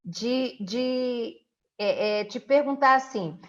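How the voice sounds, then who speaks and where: A woman's voice: fairly high pitch, slow. Woman interviewer, dull lo-fi sound. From Brazil. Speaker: interviewer and host. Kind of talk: conversational interview hosting. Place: indoors at home.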